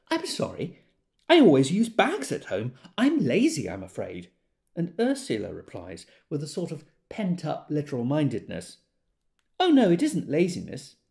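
A man's voice: fairly high pitch, creaky, dark tone, exaggerated voice. An actor playing a rueful, self-doubting everyman who tells his story in a wry, confiding, fussily precise way, as in an audio drama. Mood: exasperated. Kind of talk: dramatic monologue, audiobook-style first-person storytelling.

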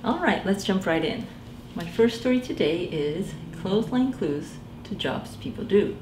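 A woman in her forties, a children's librarian, reading a picture book aloud in a gentle sing-song way and asking the children to guess. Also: mid-range voice, lively intonation, a little slow, reverberant, background noise. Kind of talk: storytime read-aloud for young children. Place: small library room indoors.

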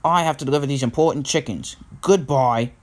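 A man's voice: mid-range voice, fairly quick, natural voice. A man voicing a rude, headstrong young new engine in a children's story, defiant and boastful. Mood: dismissive.

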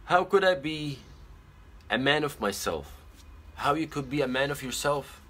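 A young man whose first language is Arabic, chatting casually on a phone live stream from his bedroom. He reads out viewer comments and gives earnest advice, pausing often on fillers. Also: fairly high pitch, animated, a bit bright, breathy edge. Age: young adult. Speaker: pageant titleholder on a live stream. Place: small bedroom indoors. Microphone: phone camera at arm's length.

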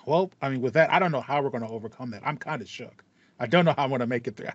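A man's voice: medium pitch, very fast, dry recording, highs cut off. A man in his thirties co-hosting a casual gaming podcast, often repeating words as he thinks aloud. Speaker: podcast co-host. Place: small room with acoustic foam.

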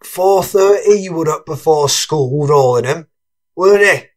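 A man acts out a cheerful, boastful small-time dealer for an audiobook crime drama. His voice sits a little high and takes its time, full of slangy teasing. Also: unhurried, close to an everyday voice. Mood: cheerful reminiscing. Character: cheerful, boastful, cackling male drug-dealing pal. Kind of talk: full-cast audiobook character acting.

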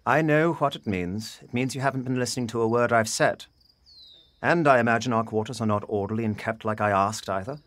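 An actor playing a weary widowed father in a period audio drama, speaking earnestly and haltingly in formal phrasing. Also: mid-range voice, a bit bright, no room sound, close to an everyday voice. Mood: stern, weary.

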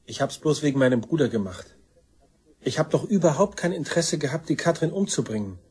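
A bitter brother accused of murder in a crime radio drama. His voice sits a little high for a man and is very hoarse-edged, with a sullen, excuse-making, everyday way of talking. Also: animated, a little fast, darkish tone. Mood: defensive. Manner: colloquial, defensive, sullen. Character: resentful, self-excusing male murder suspect, bitter brother. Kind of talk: German crime radio play acting.

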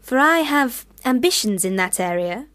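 An actress playing a bright, curious, amused young literary woman in a period radio play. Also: a little high, animated, normal speed, no echo.

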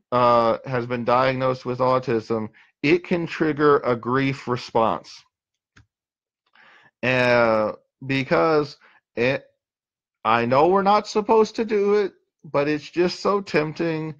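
Guy in his 40s talking slow into a webcam, dry jokes at his own expense, muffled lo-fi sound. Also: medium pitch. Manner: slow, rambling, dry self-mocking humor. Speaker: therapist. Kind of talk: unscripted talk to webcam. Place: small dim room indoors. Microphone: built-in webcam mic. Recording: dull lo-fi webcam audio.

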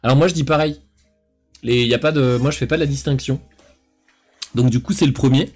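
A man's voice: mid-range voice, brisk, a little mellow, soft background music. A man in his thirties from south-east France with a faint southern accent, chatting casually and rambling with many fillers on a live cooking stream into a headset mic. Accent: southern French, faint. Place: home kitchen and small room.

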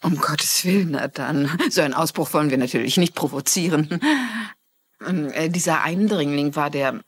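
An actress playing a polite, shrewd, inquisitive mystery-writer sleuth in a dubbed TV drama. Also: female voice, low voice, moderate pace, breathy edge. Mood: politely probing. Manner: polite, hesitant probing. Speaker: lead actor in a dubbed mystery series.

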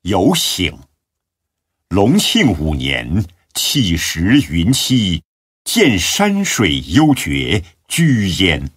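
A man with a husky voice giving a solemn, measured and dramatic narration of classical religious biography. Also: low voice, wildly swooping pitch, a bit bright, dry recording, clean studio sound.

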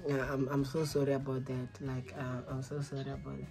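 A man's voice: mid-range voice, monotone, fairly quick, a little mellow, soft background music. A man in his twenties in a casual phone conversation, hesitant and repetitive, pleading, recorded close up in a small room. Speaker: vlogger making a prank call.

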